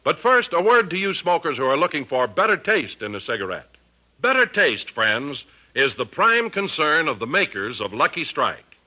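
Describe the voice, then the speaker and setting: A man's voice: a little high, very animated, moderate pace, bright, forceful tone, dull audio. A man announcing in scripted 1950s radio commercial style, with an emphatic sales delivery.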